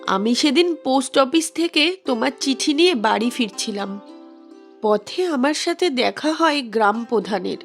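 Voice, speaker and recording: Woman voicing a sad young ghost girl in a horror cartoon, high plaintive voice, faint music under it. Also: expressive, moderate pace. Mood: sad, confiding. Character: sorrowful wronged young woman's ghost confessing her suffering. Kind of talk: dramatic storytelling in a horror cartoon.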